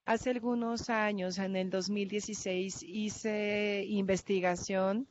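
Mujer con acento brasileño, habla pausada. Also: female voice, mid-range voice, fairly even intonation. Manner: careful, hesitant, with restarts and fillers. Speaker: researcher presenting at a workshop. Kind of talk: conference talk. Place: large conference hall. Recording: dull lo-fi hall recording.

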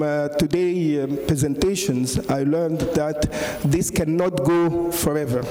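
A man's voice: on the high side, dark tone, slight background noise. A middle-aged man with an Egyptian accent, speaking as a doctor on a conference panel into a handheld mic, hesitant with many fillers and restarts. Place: large conference hall on stage. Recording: echoey hall with long reverb.

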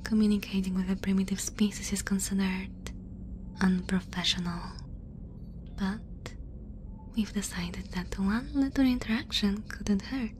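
A cautious alien woman scientist voiced by an actress whispers slowly and softly for an ASMR roleplay. She is formal, measured and reassuring. A faint ambient noise sits behind her. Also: mid-range voice, steady tone, close to an everyday voice. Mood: earnest. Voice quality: soft breathy whisper.

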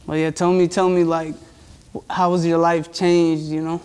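A man's voice: a little high, flat delivery, normal speed, darkish tone, smooth. A man in his twenties hosting an interview in a casual, slangy way. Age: 20s. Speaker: interview host.

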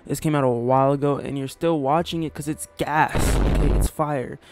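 A man's voice: fairly high pitch, fairly even intonation, moderate pace, heavy background noise. A young man casually reacting to a rap song from his small bedroom, slangy and laid-back, swearing often. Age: young adult. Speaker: music reaction youtuber. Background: hip hop track playing.

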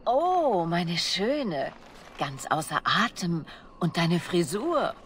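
Low-voiced, seductive spy. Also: female voice, very animated, slow, a little hiss or hum. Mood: teasing. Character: seductive, confident female bard spy, playful and scheming. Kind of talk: video game voice acting.

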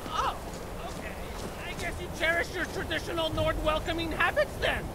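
A woman's voice: extremely high pitch, moderate pace, heavy background noise. A woman voicing a chatty, sardonic pilgrim companion in a video game, pedantic and rambling. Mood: wry, awkward. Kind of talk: video game character dialogue.

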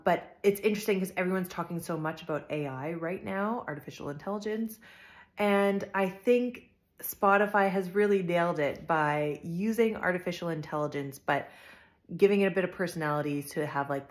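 A woman in her thirties chatting casually and conversationally to camera at home, recorded on a phone at arm's length. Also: low voice. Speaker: vlogger. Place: furnished living room at home.

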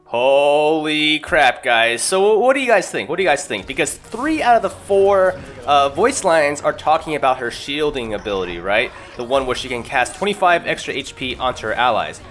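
A man's voice: a little high, big pitch swings, normal speed, few pauses, a bit bright. A young man doing casual gaming commentary as a video creator, chatty, informal and self-deprecating. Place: voice-over recorded apart from the gameplay. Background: music.

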